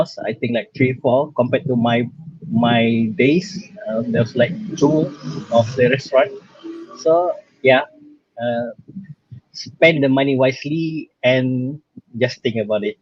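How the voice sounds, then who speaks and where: A man's voice: medium pitch, a little mellow, no room sound. Young malaysian guy sharing stuff on a video call, kinda hesitant, bit lo-fi and dry. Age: young adult. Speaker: guest speaker, exchange student alumnus. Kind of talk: informal presentation of personal experience. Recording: slightly dull, lo-fi online-call audio.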